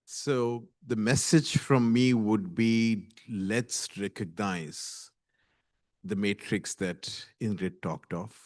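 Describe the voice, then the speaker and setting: A man with a lowish voice speaks on a conference panel. He is deliberate and puts weight on his points. The sound is dry and close. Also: fairly even intonation, no echo. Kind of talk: panel discussion remarks.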